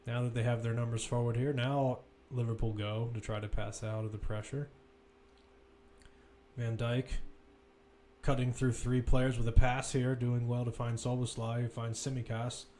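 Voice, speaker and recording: A man's voice: mid-range voice, little pitch movement, normal speed, dark tone, a little hiss or hum. Man calling live football play-by-play. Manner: running description with self-corrections and asides. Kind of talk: live sports commentary.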